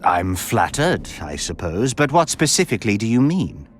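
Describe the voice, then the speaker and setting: A man's voice: on the low side, very animated, normal speed, slight background noise. Flamboyant, swooping nobleman. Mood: courtly, probing. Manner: theatrical, florid, pompous phrasing. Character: flamboyant, verbose, refined nobleman count, lecherous and sardonic. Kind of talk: video game cutscene voice acting. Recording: music behind the voice.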